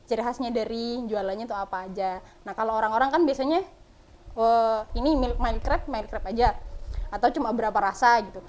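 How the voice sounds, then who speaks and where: A woman's voice: fairly high pitch, a little fast, darkish tone. A woman from Madura talking as a guest in a casual interview, chatty and modest, laughing often. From Madura, Indonesia. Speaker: guest, small dessert business owner.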